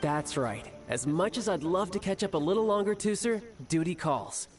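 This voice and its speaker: Cocky, charming young game warrior, lively, teasing, music under. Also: male voice, high voice, normal speed. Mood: breezy. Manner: smooth, teasing, mock-formal. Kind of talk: game cutscene voice acting. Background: background music.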